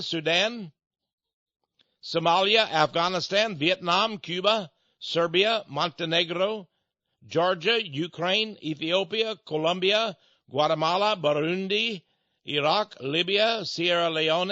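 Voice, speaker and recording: A man's voice: sits high, bright, forceful tone, dry recording, lo-fi sound. A man hosting a radio talk show, delivering a rambling, sarcastic monologue straight to his listeners. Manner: sarcastic, mocking; rambling, addresses listeners as folks. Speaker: radio host.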